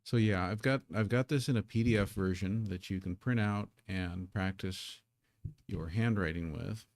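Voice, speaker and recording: A man's voice: sits low, moderate pace, a little mellow, no room sound. A man who is a native English speaker, teaching a live Korean lesson at his streaming desk in a casual, self-deprecating way, repeating words as he sets up.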